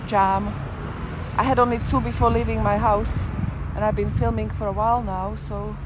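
A woman's voice: mid-range voice, level tone, moderate pace. A woman walking and filming, chatting casually and musing aloud as she narrates a street tour. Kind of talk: casual walk-and-talk commentary. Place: busy shopping street outdoors. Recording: outdoor street noise. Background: loud traffic and street noise.

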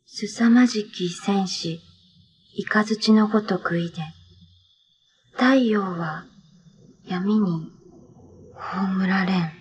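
An actress playing a solemn woman reciting an ancient prophecy in a measured, dramatic TV drama style. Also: mid-range voice, background noise, lightly acted. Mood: solemn, ominous.

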